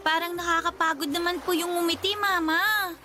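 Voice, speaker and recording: A woman's voice: very high-pitched, steady tone, normal speed, bright, forceful tone, slight background noise. A woman with a Filipino accent voicing a timid, nervous young girl in a radio drama, hesitant and polite. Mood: worried.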